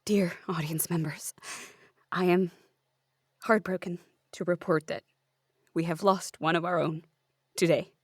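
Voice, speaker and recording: A woman acts out an earnest, slightly dim female hospital worker in a comedy audio play. She speaks very slowly and haltingly, with solemn drama, in a dry recording. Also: on the low side, steady tone, breathy edge, dry recording. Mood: mock solemn.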